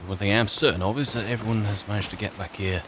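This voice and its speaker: A man improvising in-character roleplay in a fantasy game, musing aloud in a halting way with pauses. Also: lowish voice, steady tone, noisy background. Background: game music.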